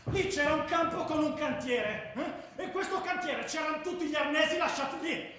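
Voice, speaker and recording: High-voiced football ultra eyewitness, echoey. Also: male voice, normal speed. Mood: vivid recounting. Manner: colloquial, animated storytelling. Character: street-level male football ultra, vivid eyewitness storyteller. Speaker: actor in a radio drama. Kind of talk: dramatized radio narration in a choral ensemble. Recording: very echoey, faint noise.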